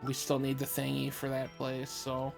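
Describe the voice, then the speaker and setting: A woman's voice: rumbling low, completely flat delivery, moderate pace, gravelly, music in the background. A woman chatting casually as she plays a video game and comments on it. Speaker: game player. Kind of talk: casual gameplay chat.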